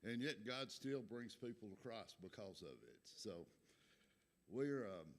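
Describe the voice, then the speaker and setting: An older man with a Texas accent giving a folksy, joking missionary report in a church, pausing often with fillers. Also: fairly low pitch, lively intonation. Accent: Texan. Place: church sanctuary.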